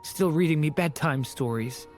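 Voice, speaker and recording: A woman as a gentle, low-voiced young boy. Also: moderate pace, music in the background, natural voice. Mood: wistful. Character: gentle, earnest young boy dragon in human form. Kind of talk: video game character acting.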